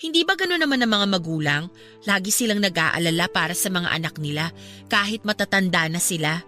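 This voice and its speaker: Radio drama casting: woman playing a courteous young Filipina friend, bright forward voice, respectful but quietly stubborn, soft music underneath. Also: lowish voice, expressive, fairly quick. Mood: pointed, innocent. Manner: polite, deferential yet pointed.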